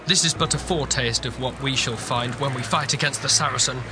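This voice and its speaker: A man's voice: medium pitch, fairly even intonation, brisk, lightly acted. A man acting an anxious, loyal crusader comrade in a children's audio drama, earnest and theatrical. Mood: foreboding. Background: music.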